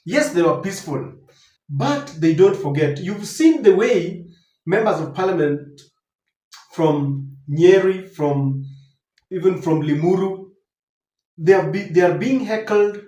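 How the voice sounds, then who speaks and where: High-pitched Kenyan man, political commentary. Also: animated, a little slow, mellow. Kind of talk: unscripted political commentary to viewers. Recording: roomy, echoing voice recording.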